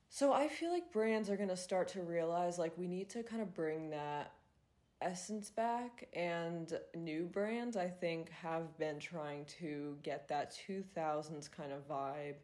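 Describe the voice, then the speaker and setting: Congested, low-voiced young woman. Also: darkish tone. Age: 20s. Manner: chatty, filler-heavy, swears freely. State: congested, nasal. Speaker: podcast host. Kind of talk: casual solo podcast chat.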